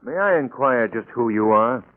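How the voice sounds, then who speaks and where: An actor playing a well-spoken, courteous but firm gentleman hero, in crisp 1940s radio style. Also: mid-range voice, animated, moderate pace, a little hiss or hum, natural voice. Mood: firm, indignant. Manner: crisp, formal, educated phrasing. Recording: tinny, band-limited 1940s radio recording.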